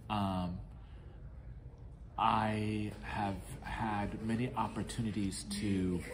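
A middle-aged man sits in a large indoor lounge and answers questions in a reflective, earnest way. His voice sits a little low. A faint room hiss runs under the recording. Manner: earnest, reflective, gestures while talking. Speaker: interviewee. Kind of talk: casual interview answers.

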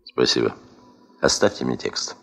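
An actor playing an authoritative, measured expedition chief with deliberate official phrasing, in a 1970s radio drama. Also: male voice, a bass voice, very animated, creaky, heavy background noise, heavily acted. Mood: curt.

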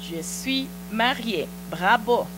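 Middle-aged Mexican woman as a patient TV French teacher, slightly high voice, studio hum behind. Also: lively intonation. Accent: Mexican Spanish. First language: Spanish. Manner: patient, repeats phrases slowly and encouragingly. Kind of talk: slow drilled language lesson. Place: small TV studio set. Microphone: handheld mic. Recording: studio recording with audible mains hum. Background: mains hum.

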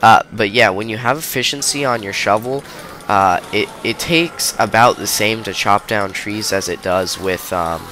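A man's voice: lowish voice, moderate pace, sharp tone, slight background noise. A young man with a stuffy nose, hosting a casual game commentary and rambling through it with many ums and uhs. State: stuffy, under the weather. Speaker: gaming video host.

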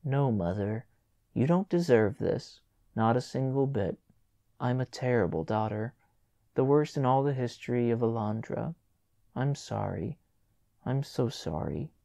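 A man reading a storybook voices an eleven-year-old girl who speaks softly and apologetically. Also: medium pitch, lively intonation, a little slow, no room sound, studio quality, natural voice. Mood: remorseful. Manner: soft, quiet and apologetic.

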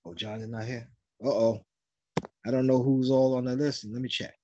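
An older man introducing himself at a community meeting on a video call, slow and halting with many ums. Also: mid-range voice, fairly even intonation, dark tone, a little hiss or hum. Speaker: committee co-chair. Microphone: video call, phone or laptop camera. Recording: dull lo-fi call audio.

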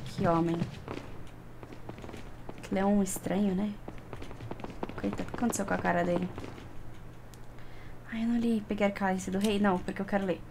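A woman's voice: medium pitch, fairly even intonation, normal speed, vocal fry, long pauses, background noise. A young Brazilian woman giving casual, chatty commentary while playing a game, talking to herself and to the game. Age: young adult. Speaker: gaming streamer. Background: video game ambience.

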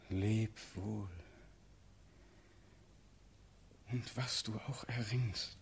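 An actor playing a dreamy young prince full of feeling, speaking classical verse in a radio drama with halting, reverent delivery. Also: male voice, fairly low pitch, darkish tone, a little hiss or hum. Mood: tender farewell.

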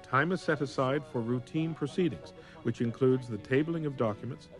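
A man narrating a documentary in a measured, explanatory way, reading a script. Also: mid-range voice, soft background music.

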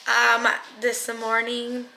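A woman's voice: a little high, monotone, takes it slowly, creaky. A young woman chatting casually and confidingly to her viewers as a family vlogger, speaking informally at home. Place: indoors at home. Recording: echoey room with background noise.

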